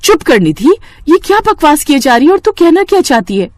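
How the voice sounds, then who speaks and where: Lady doing doting big sister in a cartoon moral story, caring, high voice that goes up and down a lot. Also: very animated, fairly quick, no echo, studio quality. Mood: alarmed. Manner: affectionate, concerned.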